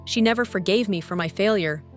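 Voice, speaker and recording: A woman voicing a grieving, soft-hearted female warplane haunted by war, speaking in a somber, confessional way in an animated drama. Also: medium pitch, a little fast, soft background music, close to an everyday voice. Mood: grieving, guilty.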